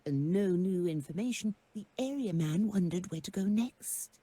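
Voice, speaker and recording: Deep, dark-toned woman narrator. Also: expressive, moderate pace, slightly rough, dry recording. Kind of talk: scripted comedic narration.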